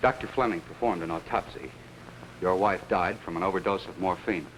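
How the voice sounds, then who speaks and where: A man acting as a courteous, questioning detective in a 1950s television mystery, with small hesitant pauses. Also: deep voice, a bit bright. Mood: grave, courteous. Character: Ellery Queen, probing, courteous male detective. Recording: noisy old 1950s television soundtrack.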